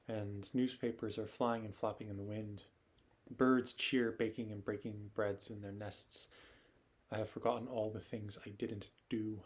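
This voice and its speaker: A man performing a poetic monologue as a nostalgic, yearning wanderer, in a measured recital style. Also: fairly low pitch, a little mellow, natural voice. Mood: wistful, lyrical. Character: nostalgic wandering male poet, reflective and yearning.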